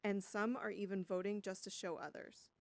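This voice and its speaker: A woman reading a scripted news voice-over in a brisk, matter-of-fact way. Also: mid-range voice, fairly even intonation, slight background noise. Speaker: student news reporter.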